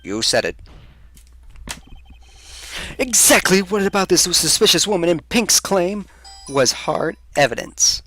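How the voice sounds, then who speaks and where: Man acting out an emphatic, animated defense attorney. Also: sits high, measured pace, long pauses, heavily acted. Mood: pressing, accusing. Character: assertive defense attorney man pressing a witness hard.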